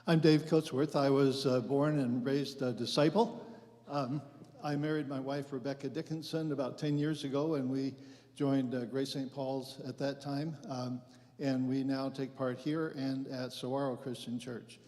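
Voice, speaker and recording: Flat, mellow-voiced man. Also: medium pitch, normal speed. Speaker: class participant. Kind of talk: casual self-introduction. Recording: long church-hall reverb.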